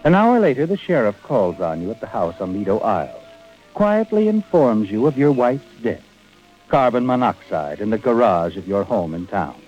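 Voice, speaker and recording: Insinuating 1940s suspense storyteller, American, sardonic, very animated mid-range voice, dull vintage radio sound. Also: male voice, fairly low pitch, normal speed. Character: sinister, knowing narrator addressing the murderer. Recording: muffled old radio recording with music.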